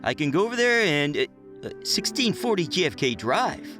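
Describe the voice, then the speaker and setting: A man's voice: fairly high pitch, big pitch swings, moderate pace, music in the background. A man playing a nervy, stubborn teenage boy in a motion comic, hesitant and easily incredulous.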